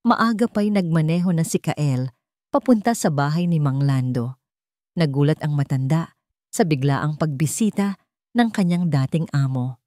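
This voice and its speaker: A woman reading a scripted dramatic story in a measured, solemn manner. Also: low-pitched, mellow, no room sound, clean studio sound. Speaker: story narrator.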